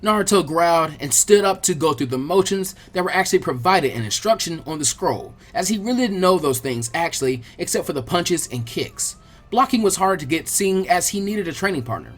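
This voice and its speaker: A man reading a story aloud as an expressive, colloquial audiobook narrator, with a husky voice. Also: high-pitched, fairly quick, faint music behind. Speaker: fan fiction audiobook narrator.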